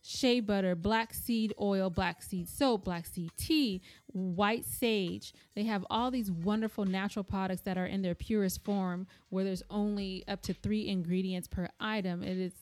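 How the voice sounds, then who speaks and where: Female co-host for a casual podcast: teasing, chatty, medium-pitched, recorded dry on a close mic. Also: fairly even intonation, a little slow, hardly any pauses, no room sound. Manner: teasing, bantering. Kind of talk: casual banter and sponsor plugs. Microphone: podcast microphone.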